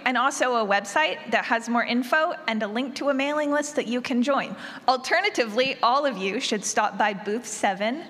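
A woman's voice: a little high, bright, forceful tone. A woman speaking conversationally on a panel as an audio drama creator and sound designer. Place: large convention room, live audience. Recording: echoey hall, long reverb.

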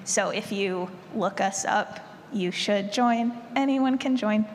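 A woman's voice: mid-range voice, slow. A woman speaking as an advocate on a panel, recorded in an echoey, noisy room. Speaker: panelist, union organizer.

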